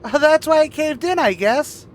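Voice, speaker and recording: An actor playing an eager, goofy teenage boy student with a squeaky adolescent voice in a 1950s radio sitcom. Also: expressive, moderate pace, a little hiss or hum. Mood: eager, sheepish.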